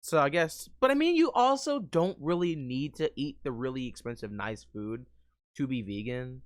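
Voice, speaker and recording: A streamer with a mid-pitched voice reacts off the cuff. The talk is informal and rambling, peppered with swearing. The recording is very dry and close, with no room sound. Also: animated, moderate pace, dry recording. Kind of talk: casual reaction commentary.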